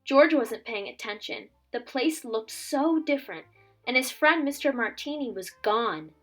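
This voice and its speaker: A woman reading a children's story aloud as a teacher would, with dramatic, expressive delivery. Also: on the high side, measured pace. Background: background music.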